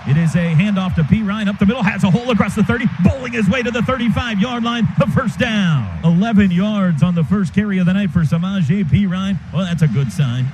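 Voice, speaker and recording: A man calling a football game play by play for radio, in quick clipped bursts that jump up on big plays. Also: high-pitched. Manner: rapid, clipped calls that rise sharply on big plays. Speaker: play-by-play announcer. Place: stadium radio booth. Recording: noisy stadium radio broadcast.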